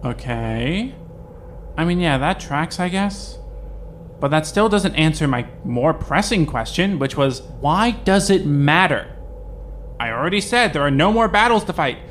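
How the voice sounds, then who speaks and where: Man as a hesitant, deadpan young god, slightly high voice, faint hiss. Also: measured pace. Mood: unsure. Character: mild, weary young male god. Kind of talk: amateur audio drama fandub.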